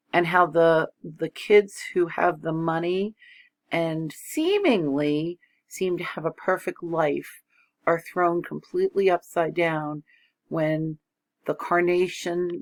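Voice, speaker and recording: Low, slow-paced woman hosting a read-along. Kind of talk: informal book discussion.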